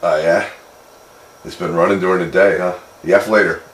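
Low-pitched man chatting on two-way radio. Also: fairly even intonation, normal speed. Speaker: amateur radio operator. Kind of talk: casual two-way radio chat. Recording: noisy, roomy radio recording.

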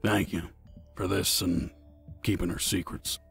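Gruff man of few words for fantasy audio drama, acted by a man; deep and gravelly, faint music bed. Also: little pitch movement, measured pace, fairly bright tone, heavily acted. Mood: low, serious. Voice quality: gravelly, husky.

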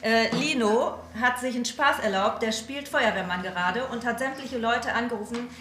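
Bright-voiced worried mother played by a woman. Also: a little high, moderate pace, roomy sound, slight background noise, lightly acted. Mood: flustered. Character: worried middle-aged mother reporting her son's prank. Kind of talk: scripted reality TV acting. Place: small police office, indoors.

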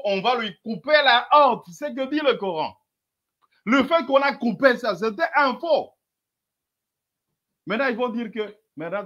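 Sarcastic high-voiced male commentator. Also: a bit bright, dull audio. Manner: sarcastic, emphatic, repeatedly urging listeners to follow. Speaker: host commenting on a replayed debate. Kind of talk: mocking commentary over replayed clips.

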